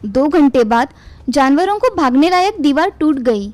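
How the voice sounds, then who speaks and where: A woman's voice: sits high, expressive, normal speed, a little mellow, no room sound. A woman narrating a scripted moral story for children.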